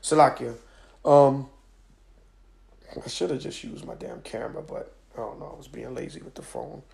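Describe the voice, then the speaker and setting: Hoarse, gravelly man preaching. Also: medium pitch, fairly even intonation, normal speed, a little mellow. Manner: emphatic, preacherly, with many "all right?" checks. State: hoarse from a cold. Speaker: teacher of a religious group. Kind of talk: live-streamed scripture lesson. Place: home at night. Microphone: phone on a stand.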